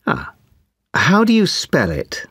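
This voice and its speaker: A woman playing an examiner in a speaking test, asking each question slowly and clearly. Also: fairly low pitch, huge pitch swings, a bit bright, no room sound, studio quality. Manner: slow, clearly spaced questions. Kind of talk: scripted exam interview.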